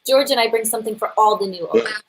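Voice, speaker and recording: A woman's voice: fairly high pitch, fast talker, close to an everyday voice. Woman playing a gracious wife, noisy recording. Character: gracious, kindly young wife bearing housewarming gifts. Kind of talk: radio play acting. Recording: noisy home online-call audio.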